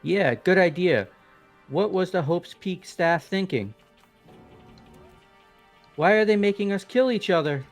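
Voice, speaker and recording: A man's voice: high voice, lively intonation, measured pace, long pauses, faint music behind. A man voicing a brash, casual, skeptical teenage boy with an offhand, argumentative manner, in amateur game voice acting. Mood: puzzled.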